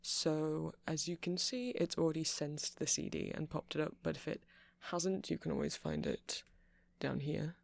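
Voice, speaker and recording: A man playing a nerdy vampire in an ASMR roleplay, rambling through explanations in a hesitant, meandering way with a creaky voice. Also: on the high side, big pitch swings, moderate pace. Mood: earnest explaining. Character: nerdy male vampire, rambling tech enthusiast, gentle boyfriend. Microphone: close mic, dry.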